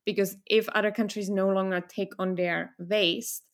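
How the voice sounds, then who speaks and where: A woman's voice: mid-range voice, fairly even intonation, normal speed. Czech-born woman in her thirties, telling stories. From Czech Republic. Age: 30s. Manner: thoughtful, storytelling, with restarts. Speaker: guest. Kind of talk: casual interview conversation.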